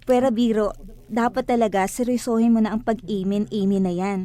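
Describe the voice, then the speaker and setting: A woman's voice: medium pitch, steady tone, a little fast, mellow, natural voice. An actress playing a devout, hopeful woman who speaks earnestly and reassuringly in a religious radio drama. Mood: assured, instructive.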